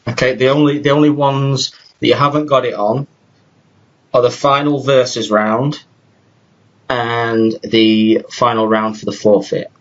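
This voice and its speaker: A man hosting a podcast and running a quiz game, explaining rules in a brisk casual way with quick restarts. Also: medium pitch, little pitch movement. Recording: dull lo-fi podcast audio.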